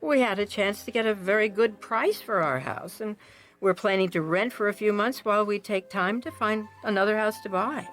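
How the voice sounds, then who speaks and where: A woman's voice: medium pitch, moderate pace, a bit bright, faint music behind, natural voice, rough recording. A woman playing an anxious, insistent mother and wife in a radio drama, earnest and pleading. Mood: uneasy, explaining.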